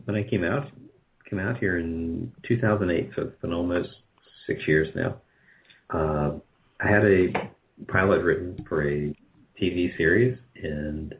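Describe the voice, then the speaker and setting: A man with a very low voice talks casually as an interview guest. He is modest about himself and wanders through restarts as he explains. The audio is dull and lo-fi. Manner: self-deprecating, rambling with false starts. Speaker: guest, radio drama producer.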